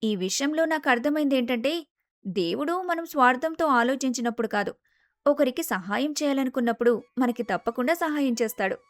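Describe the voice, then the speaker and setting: A woman's voice: sits high, animated, a little fast, dry recording, studio quality. A woman voicing a kind, selfless young daughter-in-law in a storybook tale, earnest and respectful. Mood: earnest, reflective. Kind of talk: storybook character dialogue.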